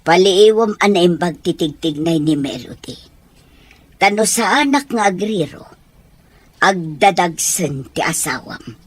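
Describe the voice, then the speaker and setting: Grave older mother, lowered voice, acted by a woman. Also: animated, a little slow, breathy edge, dry recording. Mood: grave, admonishing. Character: grave older mother warning her grown son. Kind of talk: audio soap drama acting.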